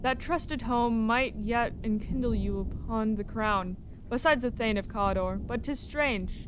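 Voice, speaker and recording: A woman playing a wary, forthright noble soldier in a measured, declamatory Shakespeare reading. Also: medium pitch, lightly acted. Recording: noisy ambience behind.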